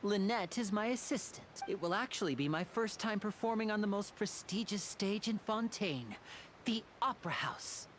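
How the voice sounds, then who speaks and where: A woman's voice: mid-range voice, animated, moderate pace, a little hiss or hum, natural voice. A woman playing a suave, courteous young male stage magician in an anime video game, polished and theatrical. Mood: modest, charming.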